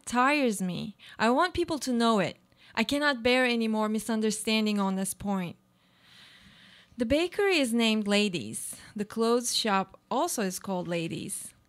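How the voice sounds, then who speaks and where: A woman acting a weary, guarded woman in a radio play, speaking literary monologue in a deliberate, declamatory way. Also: a little high, natural voice. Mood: weary, insistent.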